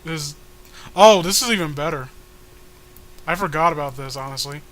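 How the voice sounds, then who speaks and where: Man, high-pitched, bright and sharp, casual joking co-host, faint background noise. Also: normal speed. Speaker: co-host of a comic reading series.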